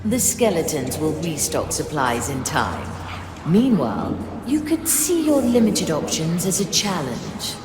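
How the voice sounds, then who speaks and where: A woman's voice: deep voice, big pitch swings, measured pace, noisy background. An actress voicing a commanding, solemn female spirit of a ghost ship in a video game, with ghostly reverb. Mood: wry, solemn. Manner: solemn, authoritative. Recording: echoey long reverb over music.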